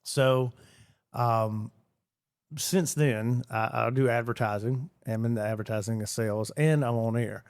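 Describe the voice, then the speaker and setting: A man's voice: medium pitch, measured pace, a little mellow, no room sound. Male radio guest, chatty rambler, dry studio sound. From Georgia, US. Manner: rambling, repeats words, many fillers. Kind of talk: casual interview chat.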